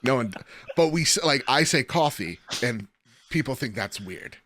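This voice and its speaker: A man's voice: mid-range voice, expressive, normal speed, a bit bright, a little hiss or hum. A man from New Jersey hosting a podcast, joking and reading out listener mail. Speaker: podcast host. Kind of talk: casual chat.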